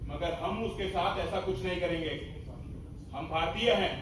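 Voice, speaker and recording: A man's voice: high-pitched, moderate pace, natural voice. A young man acting a principled, stern Indian army major in a stage play, firm and declamatory, recorded from far off in a very echoey, noisy hall. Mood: stern conviction. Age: young adult. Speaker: student stage actor. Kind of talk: stage play acting in a one-act drama.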